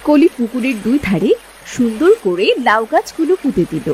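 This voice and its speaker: A woman's voice: a little high, sing-song, fairly quick, a little mellow, slight background noise, low-quality recording. A woman narrator telling a scripted children's-storybook style tale.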